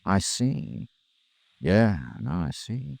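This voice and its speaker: A cool, persistent American investigator in a vintage radio crime drama. His low voice swings widely in pitch as he probes in clipped, wry phrases. The restored 1950s recording is close and dry. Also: big pitch swings, very slow, gravelly, darkish tone, no echo, exaggerated voice. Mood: probing, skeptical. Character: shrewd, persistent male insurance investigator, hard-boiled and cool. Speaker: radio drama lead and narrator.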